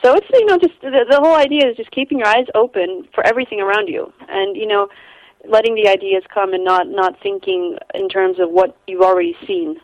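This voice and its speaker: A woman's voice: mid-range voice, fairly quick, no room sound, poor audio. A young Icelandic woman with an American accent, a photographer guest chatting in an interview, rambling with lots of restarts and easy laughs. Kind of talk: casual interview conversation.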